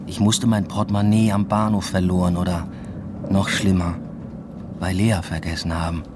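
Low-voiced, understated male narrator played by an actor. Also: flat delivery, normal speed, background noise, lightly acted. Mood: restrained. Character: restrained male university lecturer telling his story. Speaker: narrator and lead. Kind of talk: scripted first-person radio play narration.

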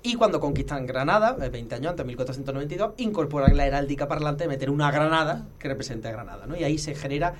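A man talks very quickly in a slightly high voice, like an informal expert guest. He explains didactically, corrects himself often and tags his points with little check-in questions. There is some ambient noise behind him. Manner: didactic, animated asides, self-correcting, checks with "¿no?". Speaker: guest expert on heraldry history.

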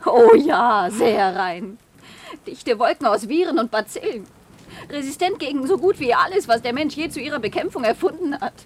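A sardonic, tipsy lady in a seventies science-fiction radio play. Her theatrical voice sits fairly high and leaps around in pitch. Faint rain falls behind her. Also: expressive, moderate pace, slight background noise. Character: tipsy, sardonic woman of the far future. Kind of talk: 1970s German radio drama acting.

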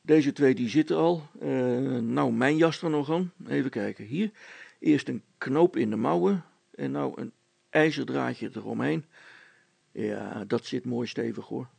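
A man's voice: mid-range voice, a little slow, gravelly, darkish tone, slightly rough, no echo, full character voice. A man voicing a practical, good-natured handyman in a story, muttering hesitantly to himself as he works. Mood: busy, hesitant.

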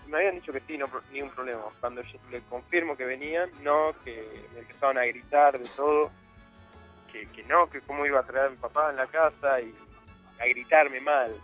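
A man's voice: mid-range voice, fairly quick, breathy edge, highs cut off, soft background music. A young man from Argentina with an Argentine Spanish accent, telling his story as a guest in a casual interview, rambling with many fillers. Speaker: guest footballer.

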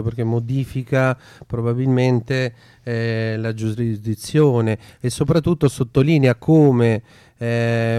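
Guy hosting radio talk, smooth clear voice, kinda hesitant, repeats words, dry sound. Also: mid-range voice, darkish tone, no room sound. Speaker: radio show host. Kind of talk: informal radio discussion of activism.

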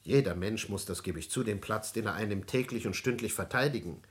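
An older man acting in a German radio play as a genial, worldly old friend, with urbane, reassuring and measured formal speech. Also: fairly low pitch, natural voice. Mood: gently reproving.

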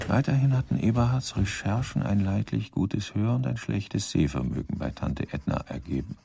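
A man narrating a scripted radio drama in a dry, deadpan manner. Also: fairly low pitch, normal speed, vocal fry, a little hiss or hum.

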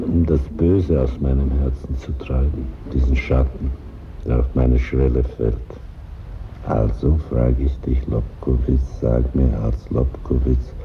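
An actor playing a troubled, earnest and stubborn would-be author in a German stage drama, speaking in a solemn, declamatory way with a gravelly voice. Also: male voice, rumbling low, fairly even intonation, measured pace, highs cut off, music in the background. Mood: anguished, solemn. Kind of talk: German theatre radio drama.

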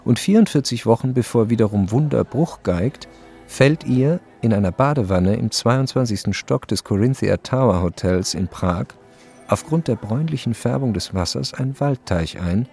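A man narrating a radio play as a detached, precise storyteller, matter-of-fact and deadpan. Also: medium pitch, normal speed, vocal fry, faint music behind.

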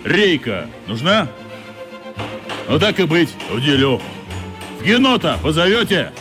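A gruff school shop teacher in a radio play. He speaks slowly with a lively, swinging pitch, grumbling and teasing with good nature, over soft music. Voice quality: fierce, gruff voice. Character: stern-sounding but kindly older school shop teacher.

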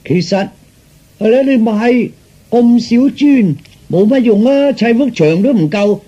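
A brick-delivery workman in a comic radio play, blunt and chatty. His voice is pushed very high, loud and husky. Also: animated, a little slow, highs cut off, full character voice. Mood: blunt, puzzled. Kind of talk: Cantonese comic radio drama acting.

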